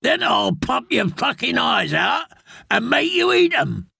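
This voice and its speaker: A man's voice: very high voice, sing-song, a little slow, gravelly, bright, forceful tone, husky, dry recording, clean studio sound, pitched above the performer's own voice, heavily acted. A man voicing a brutal, cursing male outlaw in a dog helm, bellowing threats. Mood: menacing.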